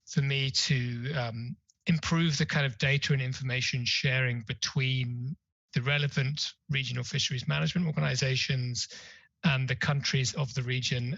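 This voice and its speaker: A man's voice: mid-range voice, a bit bright, no echo, highs cut off. A man answering a question on a conference panel, talking hesitantly with many fillers and restarts.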